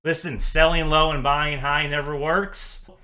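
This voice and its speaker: A man's voice: fairly high pitch, little pitch movement, measured pace, pushed and bright. A man giving a casual, upbeat client update as a financial advisor, chatty with frequent fillers.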